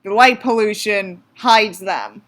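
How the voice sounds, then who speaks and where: A woman's voice: medium pitch, slow, fairly bright tone. A woman from the United States doing a mocking dramatic reading, theatrical, with sarcastic asides. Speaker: reader and commentator.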